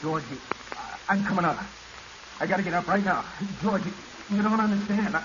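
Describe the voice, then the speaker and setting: An actor playing a scheming deep-sea diver, urgent and agitated, in a 1940s radio drama. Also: male voice, brisk, a bit bright. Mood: alarmed. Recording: muffled, noisy vintage radio recording.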